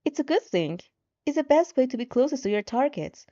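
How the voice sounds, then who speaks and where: A woman voicing a calm, controlling game-like system guide in a fan fiction reading, patient and reassuring with a menacing edge. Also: high-pitched, fairly quick, highs cut off. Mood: patient, persuasive.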